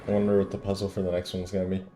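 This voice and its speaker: Low, mellow-voiced man gaming. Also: completely flat delivery, racing, faint music behind. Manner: talks to himself and the game. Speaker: gamer playing and reading game dialogue aloud. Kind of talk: casual gameplay commentary.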